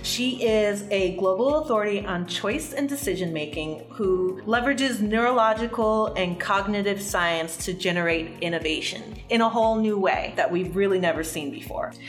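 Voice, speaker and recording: A woman's voice: mid-range voice, normal speed, few pauses, reverberant, faint music behind. A woman hosting a podcast, introducing her guest with upbeat enthusiasm. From New Jersey, USA. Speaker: podcast host.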